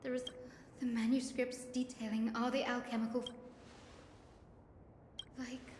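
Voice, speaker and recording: A woman's voice: on the high side, little pitch movement, unhurried, darkish tone, slight background noise, lightly acted. An actress playing an earnest young woman in a dramatic game, thoughtfully piecing together a mystery. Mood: alarmed, pondering. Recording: echoing reverb, faint music.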